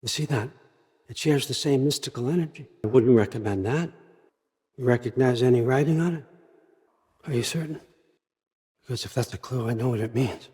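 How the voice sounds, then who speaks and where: A man's voice: medium pitch, brisk, long pauses, darkish tone, husky, natural voice. An older American man acting in a video game as a scheming, controlling old father god, wry, folksy and conversational as he manipulates. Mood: persuasive.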